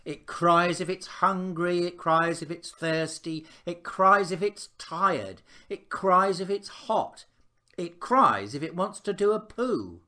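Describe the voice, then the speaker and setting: A man's voice: high-pitched, animated, takes it slowly, pushed and bright, pitched above the performer's own voice, full character voice. A man reading a children's picture book, voicing an indignant, worried little girl with an emphatic, petulant delivery. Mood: grumbling.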